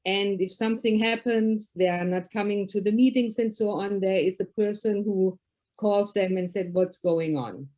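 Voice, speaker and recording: A woman's voice: mid-range voice, normal speed, warm tone. A woman from Germany with a German accent, a Lions past district governor, sharing her thoughts on a video call in careful, slightly non-native English.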